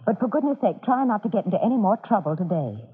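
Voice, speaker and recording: Firm but kindly mother, lively intonation, genteel scolding, muffled vintage radio. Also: mid-range voice, fast, breathy edge. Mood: gently firm. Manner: proper, gently admonishing. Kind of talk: old-time radio drama acting.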